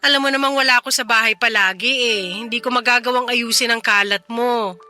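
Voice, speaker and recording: A woman's voice: a little high, steady tone, brisk, sharp tone, soft background music. A woman playing a tired, impatient but caring single mother, weary and scolding in a radio drama. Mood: weary, brisk. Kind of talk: Tagalog radio drama acting.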